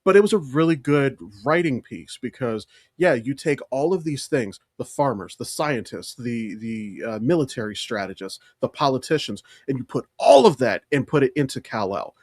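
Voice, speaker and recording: Male podcast co-host, expressive swinging intonation, emphatic, profane, casual opinionated chat, dry close sound. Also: mid-range voice, normal speed, few pauses, no room sound. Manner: animated, emphatic, freely profane.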